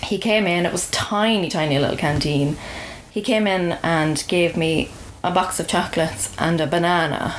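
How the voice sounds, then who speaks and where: A woman's voice: lowish voice, fairly even intonation, moderate pace, slight background noise, rough recording. An Irish woman telling a personal story as a podcast guest, in a casual, wry and understated conversational way.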